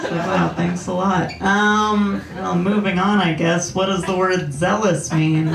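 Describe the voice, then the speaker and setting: Deadpan low-voiced young woman comic. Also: gravelly, heavy background noise. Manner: slow, deadpan, hesitant delivery with many ums. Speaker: stand-up comedian. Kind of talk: deadpan stand-up set. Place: comedy club stage with laughing audience. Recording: roomy club sound from a distance.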